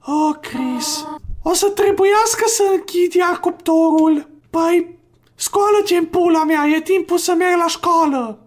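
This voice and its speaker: A man voicing a gentle, fussy goat mother who coos and scolds softly in a video game. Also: very high-pitched, voice pushed up. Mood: mild scolding.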